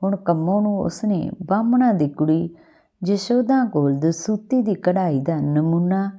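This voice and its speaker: A Punjabi woman narrates a literary story in a lowish voice with a dark, mellow tone. She reads from a script as a storyteller, unhurried and expressive. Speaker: storyteller, host of a story channel.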